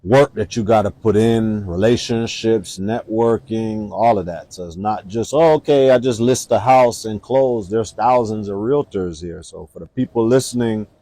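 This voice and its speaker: A man from Jamaica hosting a casual interview, asking questions and backing up his guest with short quick replies. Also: fairly low pitch, mellow, dry recording. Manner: talks fast, asks questions, affirms with short replies. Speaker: interview host.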